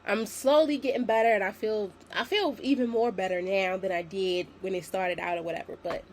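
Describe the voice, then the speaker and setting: A young woman in her twenties hosting a solo podcast, slightly raspy from a sinus infection, chatty and encouraging as she talks to her listeners. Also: medium pitch, steady tone, a little fast, a little mellow, slight background noise. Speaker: podcast host. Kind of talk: casual solo podcast talk.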